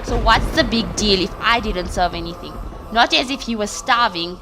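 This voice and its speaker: An actress playing a defiant, scornful young wife with a South African accent in an audio drama. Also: female voice, medium pitch, normal speed, over music, lightly acted. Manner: snappy, confrontational.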